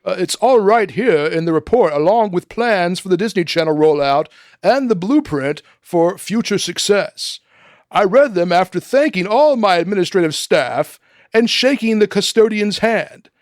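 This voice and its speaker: A man acting as an earnest, decent and patient deputy executive in a comic radio drama, polite and gently corrective. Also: high-pitched, expressive, moderate pace, dry recording, clean studio sound. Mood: patient, correcting.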